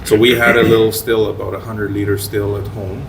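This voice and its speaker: Low-voiced man from Romania, rambling storyteller. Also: fairly quick. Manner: rambling, digressive. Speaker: distillery owner, interview guest. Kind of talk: casual conversational storytelling. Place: indoors at a distillery. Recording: clear steady room noise, air conditioning hum.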